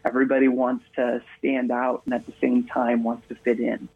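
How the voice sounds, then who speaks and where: Gravelly man on a tinny phone line. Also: medium pitch, completely flat delivery, normal speed. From Maine, USA. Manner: chatty storyteller, upbeat, leans on "actually". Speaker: guest, international speaker. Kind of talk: casual interview conversation.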